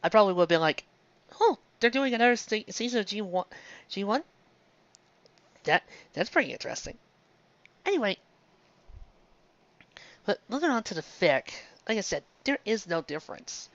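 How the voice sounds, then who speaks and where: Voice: medium pitch, sing-song, normal speed, long pauses, a bit bright, no echo. A reviewer giving a casual, rambling monologue, digressing often and stumbling into restarts mid-phrase. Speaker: fan fiction reviewer. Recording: dull lo-fi close dry recording.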